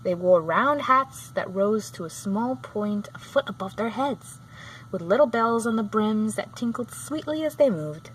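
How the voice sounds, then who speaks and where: A woman reading a children's book aloud, sometimes halting and going back over a phrase. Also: medium pitch, expressive, low-quality recording. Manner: halting, often rereads phrases. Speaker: storybook reader. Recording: clear background noise.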